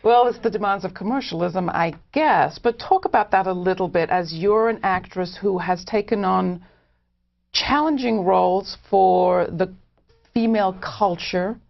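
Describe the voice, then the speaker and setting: A woman's voice: medium pitch, unhurried, creaky. A woman hosting an interview, putting questions and comments to a guest in a conversational style. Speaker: interviewer and host. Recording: dull, lo-fi interview audio.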